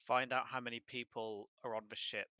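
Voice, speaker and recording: Guy playing a tabletop rpg, fast talker, really sharp forceful voice. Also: mid-range voice, level tone, smooth, dry recording, lo-fi sound. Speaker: tabletop game player. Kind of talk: casual game chat.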